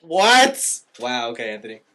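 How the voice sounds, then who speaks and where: A man's voice: medium pitch, big pitch swings, normal speed, bright, forceful tone. A man hosting a casual movie review podcast, giving opinions and often rewording himself as he goes. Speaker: podcast host.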